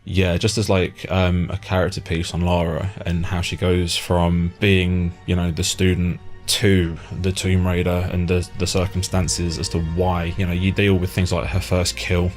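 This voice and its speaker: A man with a low voice hosts a relaxed conversation. He speaks informally and bluntly, sharing opinions as an interviewer. There is faint music behind his voice. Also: measured pace, hardly any pauses. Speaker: podcast host, interviewer. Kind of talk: casual opinionated chat.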